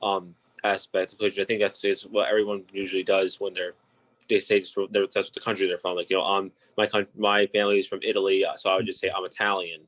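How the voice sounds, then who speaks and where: A man's voice: sits low, level tone, fast, fairly bright tone, rough recording. A young man co-hosting a podcast who talks casually and hesitantly, with many ums and uhs. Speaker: podcast co-host. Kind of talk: casual discussion.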